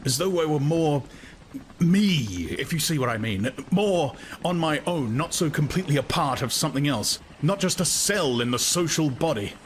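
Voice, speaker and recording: Man voicing a brooding young man, hesitant, earnest, lively pitch. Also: moderate pace, a little hiss or hum, close to an everyday voice. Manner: hesitant, searching, derisive at times.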